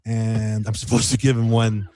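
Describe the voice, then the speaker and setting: A man chatting casually as a singer-songwriter guest in an interview, animated and rambling, repeating phrases for emphasis. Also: lowish voice, moderate pace, no echo. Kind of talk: casual radio interview chat.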